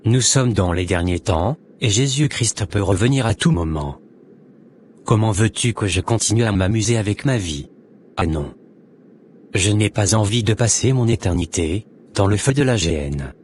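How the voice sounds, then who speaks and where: Man acting low-voiced solemn devout convert, noisy recording. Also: animated, normal speed, darkish tone, natural voice. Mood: solemn, exhorting.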